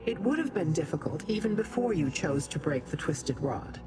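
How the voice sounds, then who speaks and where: An actress playing a proud, secretive demon in a video game, haughty, formal and deliberate. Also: female voice, sits low, animated. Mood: aloof, measured. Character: proud, aloof demon schemer, secretive and self-serving. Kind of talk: video game character acting. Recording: music behind.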